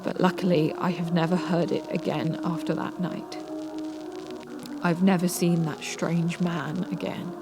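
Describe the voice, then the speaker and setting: A woman narrating a scary story in a measured manner with dramatic pauses. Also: on the low side, little pitch movement. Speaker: narrator reading submitted scary stories. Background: music.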